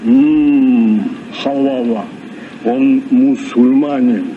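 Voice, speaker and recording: An actor playing a courteous, grandiose Arabian Nights sailor with a florid, ceremonious manner in an old radio play. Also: male voice, mid-range voice, steady tone, drawn-out words. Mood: grandly courteous. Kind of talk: vintage Soviet radio play acting. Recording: muffled, noisy old radio recording.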